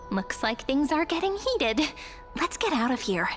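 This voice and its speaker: A woman playing a sweet, curious young adventurer in a video game, gentle, polite and thoughtful. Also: sits high, big pitch swings, normal speed, soft background music.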